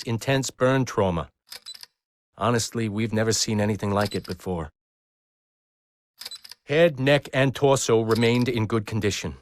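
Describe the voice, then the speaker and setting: An actor playing a detached corporate agent in a game, giving a formal, clinical report. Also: male voice, mid-range voice, background noise, lightly acted. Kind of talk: scripted formal report narration.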